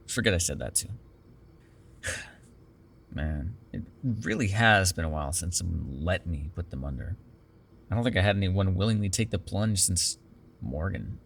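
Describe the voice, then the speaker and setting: A man's voice: low voice, creaky, a bit bright, exaggerated voice. A man playing a chatty, defensive vampire captor in an intimate ASMR roleplay, rambling casually with plenty of swearing. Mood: flustered, wry. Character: chatty, defensive, self-deprecating male vampire captor with a soft spot.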